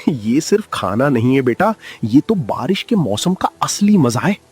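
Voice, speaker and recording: A man playing a lively old shopkeeper, Lala ji. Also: mid-range voice, normal speed, slightly rough, faint music behind. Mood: delighted. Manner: lively, effusive praise. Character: cheerful elderly male shopkeeper Lala ji.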